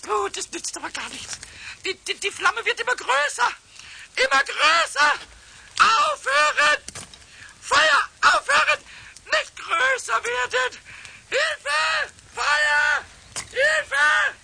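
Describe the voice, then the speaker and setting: A tiny, mischievous kobold with an extremely high, piercingly bright, creaky voice. He babbles and stutters to himself, repeating words excitably, in a children's radio play on slightly hissy tape. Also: slow pace, exaggerated voice. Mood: panicked. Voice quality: squeaky, shrill, creaky. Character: cheeky little male kobold, excitable and mischievous.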